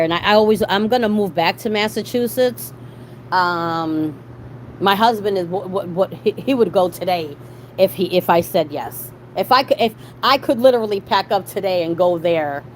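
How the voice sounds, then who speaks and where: A middle-aged woman with a fairly low voice chats casually to her live viewers. She talks in a salty, slangy way and swears freely. There is clear background noise in the recording. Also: darkish tone, rough recording. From Boston, USA. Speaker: livestream host.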